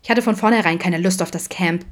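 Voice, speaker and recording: A woman's voice: fairly low pitch, fast, close to an everyday voice. A woman voicing a sulky, defiant teenage girl in an audiobook, snappy, slangy and reproachful. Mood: defiant whining.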